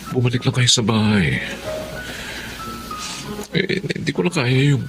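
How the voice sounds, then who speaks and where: An actor playing a meek young husband, hesitant and pleading, in a Filipino radio drama. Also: male voice, mid-range voice, lively intonation, measured pace, over music. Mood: pleading, lonely.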